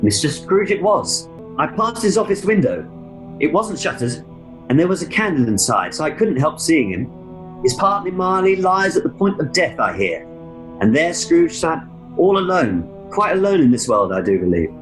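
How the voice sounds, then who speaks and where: A man's voice: on the high side, big pitch swings, normal speed, faint music behind, low-quality recording. A man playing an earnest husband telling news to his wife in a radio play. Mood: earnest, pitying. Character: husband chatting earnestly with his wife about an old friend.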